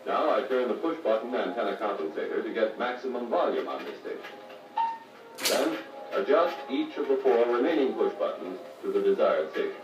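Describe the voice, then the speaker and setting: An American actor playing a patient car radio service instructor, explaining methodically in a 1950s training filmstrip style. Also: male voice, fairly low pitch, big pitch swings, a little fast, over music. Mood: patient, instructive. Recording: muffled, noisy, echoey 1950 record playback, distant mic.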